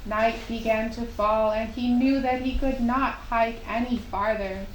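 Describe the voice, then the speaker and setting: A woman narrating a children's puppet show story in simple, unhurried phrases with long pauses. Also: fairly high pitch, fairly even intonation. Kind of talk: slow storytelling for young children. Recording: roomy live recording with faint noise.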